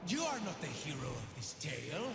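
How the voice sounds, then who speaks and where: A man's voice: medium pitch, huge pitch swings, fairly quick, gravelly, music in the background. Theatrical undead king, reverberant and dramatic. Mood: menacing, defiant. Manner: grandiose, brooding, theatrical. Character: grief-mad undead king villain, obsessed with his lost queen. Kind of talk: dramatic video game villain voice lines.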